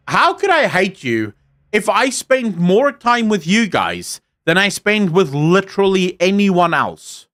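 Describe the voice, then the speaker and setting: A man's voice: sits high, fairly bright tone. A young man from South Africa with an Afrikaans accent, a native Afrikaans speaker, streaming live and chatting casually with his viewers. He rants and jokes and swears freely. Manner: profane, ranting and joking.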